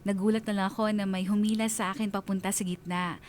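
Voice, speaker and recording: A woman's voice: mid-range voice, little pitch movement, fast talker, darkish tone, slight background noise, lightly acted. A woman playing a sentimental female letter sender who narrates her romance in a reflective way, with a Filipino accent. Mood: fond, reflective. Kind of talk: dramatic first-person radio storytelling.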